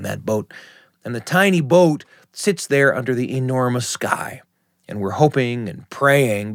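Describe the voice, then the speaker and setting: A middle-aged man hosting and telling stories in an expressive, deliberate way with dramatic phrasing. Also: mid-range voice, dry recording, studio quality. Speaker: host. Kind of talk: warm conversational radio storytelling. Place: radio studio.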